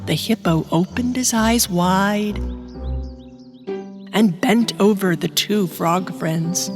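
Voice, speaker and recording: A woman storyteller reading a scripted children's bedtime tale. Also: medium pitch. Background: music.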